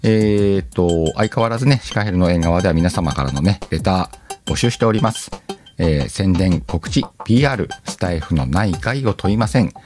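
A man's voice: sits low, animated, normal speed, a little mellow, soft background music. A man hosting a casual solo audio show, reading out listener letters and chatting to himself with self-deprecating banter and frequent laughter.

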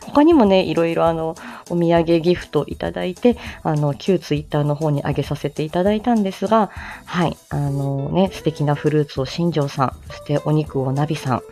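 A woman's voice: low voice, moderate pace, dark tone, soft background music. A woman from Japan chatting casually as a livestream host, in a giggly, self-deprecating manner. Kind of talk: casual morning livestream chat.

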